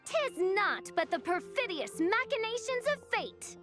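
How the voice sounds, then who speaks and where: High, slow, grandiose princess. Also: female voice, very animated, full character voice. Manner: pompous, archaic, declamatory. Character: theatrical self-styled gothic princess, teenage girl, grandiose. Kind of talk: video game character dialogue. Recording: music playing behind.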